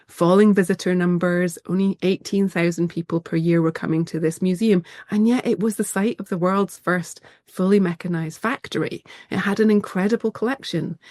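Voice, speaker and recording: A woman's voice: on the low side, a little mellow, dry recording, clean studio sound. A woman with a slight cold and a nasal voice, giving an enthusiastic slide presentation as a museum director over a video call.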